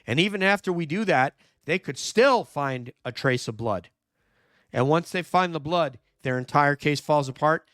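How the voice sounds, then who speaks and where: A hoarse man, nearly losing his voice, hosting a true crime livestream, talking emphatically and answering viewer chat. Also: a little high, lively intonation, normal speed, fairly bright tone, no echo, clean studio sound. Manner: emphatic, repeats "no question", thinks aloud with fillers. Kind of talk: live commentary reading viewer chat.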